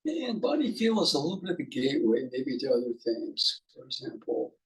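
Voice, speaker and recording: Male meditation teacher, mid-pitched, guiding off the cuff in halting, reflective fragments with pauses between phrases. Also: very animated, poor audio. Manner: halting, musing, speaks in short fragments with pauses. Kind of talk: unscripted guided meditation.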